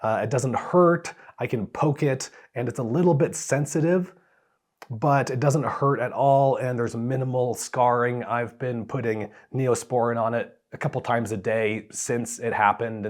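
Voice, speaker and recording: A man with a hoarse voice from a cold gives a casual, plainspoken update to his camera, with a few fillers. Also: mid-range voice, a little mellow. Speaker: travel vlogger.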